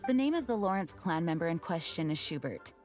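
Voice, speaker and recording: A woman playing a dutiful, diplomatic knight commander in a fantasy game, speaking formally and earnestly in measured phrases. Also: on the low side, animated, darkish tone, close to an everyday voice. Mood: composed, earnest. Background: game music.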